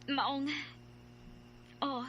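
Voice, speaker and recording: A woman's voice: high-pitched, little pitch movement, extremely slow, dull audio, a little hiss or hum. An actress playing a guarded, proud young student woman in a radio drama, wounded and sincere. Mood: shy, sincere. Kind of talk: radio drama acting and narration.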